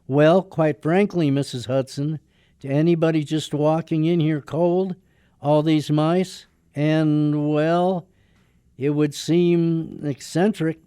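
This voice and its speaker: A man's voice: a little high, steady tone, slow, mellow, clean tone, no echo, studio quality. A man playing a glum, hesitant lawyer's son in a radio drama. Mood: uneasy. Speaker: amateur radio drama actor.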